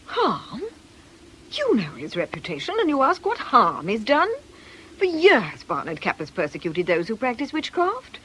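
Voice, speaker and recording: A woman's voice: a little high, huge pitch swings, unhurried, a bit bright, slight background noise, full character voice. A woman playing an ailing, middle-aged fortune teller in a radio drama, scornful and theatrical. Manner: world-weary, scornful, declamatory.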